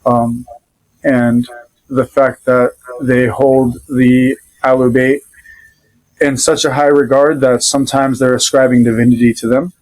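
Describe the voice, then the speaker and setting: A man's voice: mid-range voice, little pitch movement, a little mellow, rough recording. Easygoing man with a Rhode Island accent. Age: adult father. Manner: easygoing, loosely rambling with many pauses. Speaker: podcast guest. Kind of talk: casual conversational storytelling.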